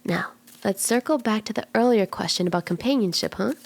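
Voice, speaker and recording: A woman voicing a flirty, playful kitsune fortune teller in a soft, teasing, reassuring ASMR roleplay, slipping into breathy whispers in places. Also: mid-range voice, brisk. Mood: calm, guiding. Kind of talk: ASMR roleplay, soft intimate tarot reading.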